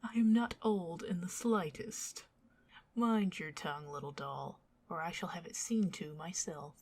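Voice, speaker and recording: Woman acting a slow, whispery, bewitching vampire lady. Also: fairly low pitch, a little mellow. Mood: mock-offended, teasing. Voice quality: breathy, husky whisper. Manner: arch, theatrical, old-fashioned flowery phrasing. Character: bewitching older vampire lady, doting, theatrically fussy and possessive. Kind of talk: whispered ASMR roleplay.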